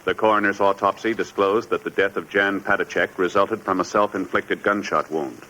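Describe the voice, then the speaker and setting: A man announcing in a formal, measured, solemn scripted 1950s radio style. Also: low voice, steady tone. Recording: muffled old radio, loud music.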